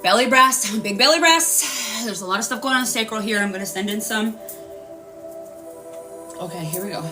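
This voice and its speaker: A woman's voice: on the high side, animated, normal speed, recorded from a distance. A woman hosting a live-streamed healing session, talking in an earnest, rambling way and guiding listeners through their breathing. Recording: voice a bit off-mic with music playing.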